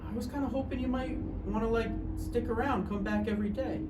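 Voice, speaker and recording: A man's voice: extremely high pitch, brisk. A man playing a gloomy, wry old failed novelist on his deathbed in a live table read of a stage play. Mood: wry, resigned. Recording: echoey noisy room, far from mic.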